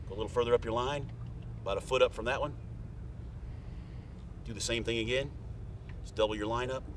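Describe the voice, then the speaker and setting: A man's voice: mid-range voice, steady tone, brisk. A middle-aged man, casually explaining step by step how to tie a fishing rig. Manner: plain, folksy, step-by-step instructions. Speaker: fishing guide. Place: outdoors on a boat on a lake. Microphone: camera mic from a few feet away. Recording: distant outdoor camera audio with faint boat noise.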